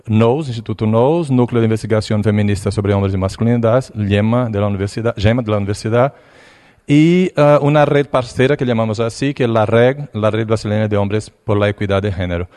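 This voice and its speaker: Brazilian guy w portuguese accent presenting slides, kinda halting, warm mellow voice. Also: fairly low pitch, steady tone. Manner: hesitant, pausing, restarts words. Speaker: conference presenter.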